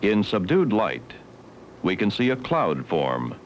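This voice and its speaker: Male documentary narrator, low and formal, varied intonation, vintage 1970s film sound. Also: huge pitch swings, a little hiss or hum. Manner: measured, formal, instructional. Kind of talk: scripted educational film narration.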